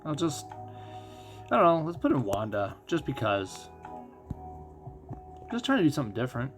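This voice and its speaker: A man's voice: medium pitch, huge pitch swings, normal speed, soft background music. A man doing casual live commentary as a game streamer, explaining things conversationally and thinking aloud.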